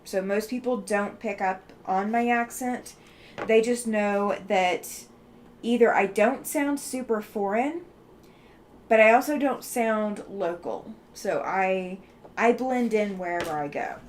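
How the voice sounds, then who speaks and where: Woman from the southeast, faint southern accent, chatty livestream host answering chat, mid-pitched voice. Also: slow, a little hiss or hum. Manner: chatty, reading out and answering viewer comments.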